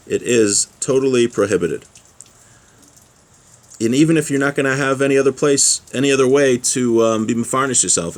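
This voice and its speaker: A man giving an informal religious lesson, reading from a text and explaining it with small check-in questions. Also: mid-range voice. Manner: explanatory, with rhetorical check-ins like "right?". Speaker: religious teacher giving a class.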